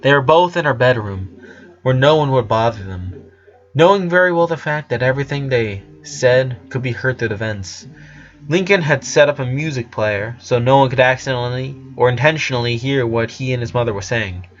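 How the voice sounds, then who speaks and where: A man's voice: medium pitch, normal speed, dull audio, soft background music. A man reading a story aloud as narration, sometimes stumbling over his words and correcting himself.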